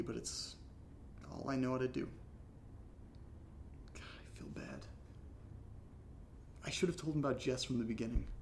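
Clear hiss and rumble sit under a man playing a wary, guilt-ridden friend in an audio drama. His mid-pitched voice is creaky and naturalistic, like someone who holds things in. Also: natural voice.

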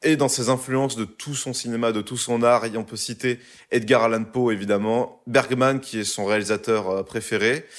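A young man co-hosting a casual podcast, telling a story in a loose, joking way with lots of fillers. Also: fairly low pitch. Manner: jokey, loose delivery with many fillers. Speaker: podcast co-host presenting a segment. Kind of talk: casual chat.

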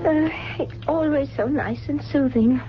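An actress playing an affectionate, wealthy American mother of a grown son in a 1970s radio drama, gently coaxing. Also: high-pitched, a little slow. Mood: tender. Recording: dull, noisy old radio broadcast with hum.

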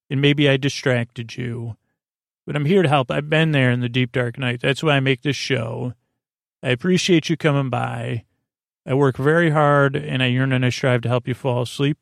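Creaky-voiced man rambling on a sleep podcast. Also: medium pitch, no echo, clean studio sound. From United States. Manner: meandering, halting, repeats and trails off in tangents. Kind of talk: rambling, improvised bedtime monologue.

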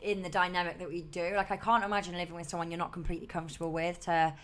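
A young woman co-hosting a podcast, animated and teasing, with dramatic emphasis. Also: lowish voice, rapid-fire. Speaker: podcast co-host. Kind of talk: casual chat.

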